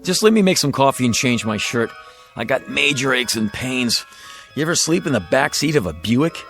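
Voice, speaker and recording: A man's voice: mid-range voice, sing-song, normal speed, a bit bright, soft background music. An actor playing a weary, earnest used car salesman in a radio drama, with a hesitant, folksy way of talking. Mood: weary, glum. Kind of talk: old-time radio drama acting.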